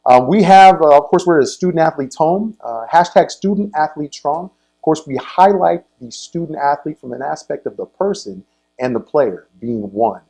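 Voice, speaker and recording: An American man hosting a conversational sports interview show, speaking in a halting way and repeating words as he goes. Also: on the high side, animated, gravelly, dark tone, low-quality recording.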